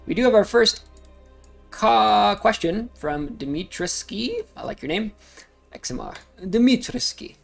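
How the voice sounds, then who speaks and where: A man's voice: high-pitched, big pitch swings, measured pace, creaky. A man casually talking through crypto charts on a livestream, rambling with frequent fillers. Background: music playing.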